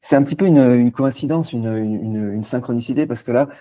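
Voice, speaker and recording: A man's voice: mid-range voice, a little fast, dark tone. A man interviewing a guest, asking casual questions with stammers and repeated words.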